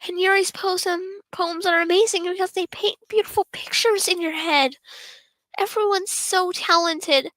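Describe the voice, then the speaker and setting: A woman's voice: extremely high pitch, measured pace, fairly bright tone, no room sound, pitched above the performer's own voice. A woman voicing a sweet, upset schoolgirl peacemaker in a visual novel, pleading earnestly and emphatically. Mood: earnest, gushing.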